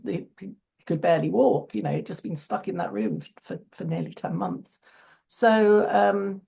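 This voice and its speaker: A woman speaking as an interview guest in earnest conversation, her voice croaky today and often restarting phrases. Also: fairly low pitch, a little mellow. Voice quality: gravelly.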